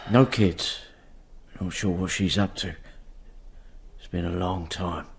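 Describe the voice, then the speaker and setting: An actor playing a rough, laconic working-class boatman in a radio drama. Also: low-pitched, steady tone, slow, slight background noise. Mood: subdued. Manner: laconic, wry, understated.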